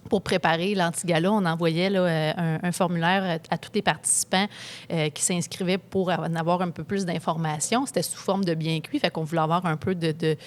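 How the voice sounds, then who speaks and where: A woman's voice: on the low side, steady tone, a little fast. Québécois woman host with a hesitant manner. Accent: Québécois French. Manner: hesitant, many fillers and restarts. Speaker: interview host. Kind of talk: conversational interview.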